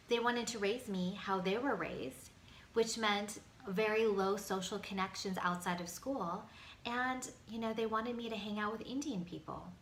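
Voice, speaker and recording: A middle-aged American woman giving a motivational personal talk, speaking earnestly and emphatically with dramatic pauses. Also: medium pitch, a bit bright. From United States, Pennsylvania. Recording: echoey room with faint background noise.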